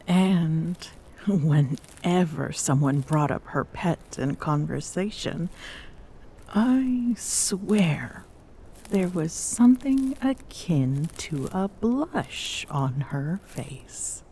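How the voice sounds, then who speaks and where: A woman acts as a smitten Amazonian warrior queen in an intimate ASMR audio roleplay. Her voice is low and extremely slow, soft and pensive, often slipping toward a breathy whisper. She sounds tender and wistful yet guarded, with some background noise and ambience behind her. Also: animated, full character voice. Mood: fond, amused.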